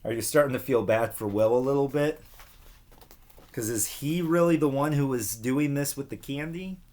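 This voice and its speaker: A man reading a children's storybook expressively, with dramatic pauses and casual asides that question the young listeners. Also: mid-range voice, darkish tone. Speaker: read-aloud storyteller for children.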